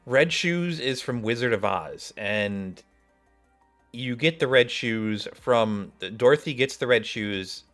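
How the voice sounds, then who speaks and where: A man's voice: mid-range voice, lively intonation, slow, a bit bright. A man giving casual reaction commentary as a game streamer, musing and thinking aloud. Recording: game music behind the voice.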